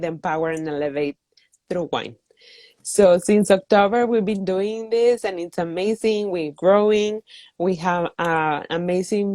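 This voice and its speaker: A woman's voice: lowish voice, darkish tone, dry recording. Woman from Mexico, dry close sound. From northeast Mexico. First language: Spanish. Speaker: interview guest, wine community founder. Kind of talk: casual conversational interview.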